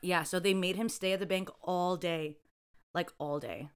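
Woman, 20s, chatty, laughs a lot, lowish pitch, smooth tone. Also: steady tone, moderate pace. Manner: chatty, laughs often, lots of "like". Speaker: co-host. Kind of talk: casual chat.

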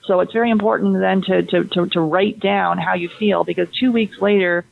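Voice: mid-range voice, steady tone, normal speed, creaky, low-quality recording. A fertility health practitioner explaining testing and diet in a conversational interview, often restarting and repeating words.

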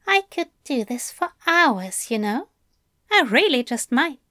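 A woman's voice: high voice, very animated, unhurried, sharp tone, no room sound, clean studio sound, exaggerated voice. A woman voicing a tender, teasing male angel lover in an audiobook, coaxing and fussily polite with endearments. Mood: gently teasing. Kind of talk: fan fiction audiobook character voice.

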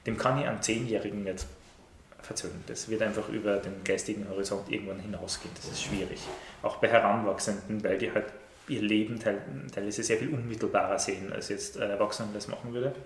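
Brief: middle-aged teacher, lowish voice, measured, lots of "ähm", recorded in an echoey room. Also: male voice, steady tone. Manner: measured, many "ähm" fillers and restarts. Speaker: teacher of Latin and Ancient Greek. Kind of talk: interview, thoughtful explanation. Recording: roomy echo with faint noise.

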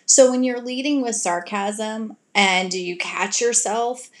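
A woman giving relationship advice to her audience in an earnest, gentle coaching way, now and then acting out sweet example lines to a partner. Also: a little high, slow pace. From grew up in a Greek family.

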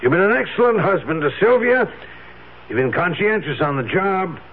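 A man's voice: high voice, very animated, moderate pace, husky, heavily acted. An actor playing a genial, proud old wealthy father-in-law, jovial and ceremonious, in a 1970s radio drama. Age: older. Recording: dull lo-fi 1970s radio recording with hiss.

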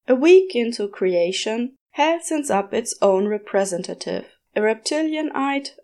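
A woman's voice: mid-range voice, creaky, a little mellow. A woman reading a story aloud as scripted audiobook-style narration. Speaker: podfic narrator. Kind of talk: scripted narration of fan fiction.

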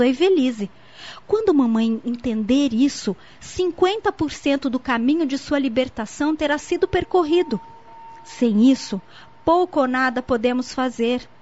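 A woman playing an earnest, devout daughter worried for her mother, explaining gravely in a radio drama. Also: high voice, a little mellow. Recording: dull lo-fi radio drama recording.

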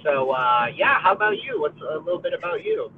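A man's voice: on the high side, expressive, fairly quick, a little hiss or hum. Upbeat young man over a tinny line. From United States. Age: college age. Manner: friendly, upbeat, many fillers and restarts. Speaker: podcast co-host. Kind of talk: casual conversation.

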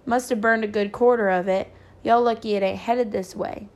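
A man's voice: sits high, steady tone, moderate pace. Woman voicing an earnest Southern country boy. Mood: earnest, matter-of-fact. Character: earnest, puzzled country boy bringing fire news. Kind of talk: audiobook character dialogue.

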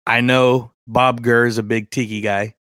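A man's voice: medium pitch, flat delivery, normal speed, fairly bright tone, clear, resonant tone, no room sound, clean studio sound. A man co-hosting a podcast in casual chat, informal and joking, with some self-deprecation. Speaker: podcast co-host.

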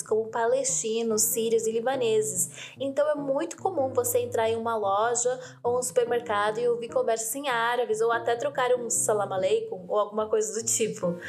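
A woman in her twenties from the Brazil-Uruguay border, native in Portuguese and Spanish, telling her own story in a reflective, measured way. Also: on the high side. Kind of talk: personal storytelling.

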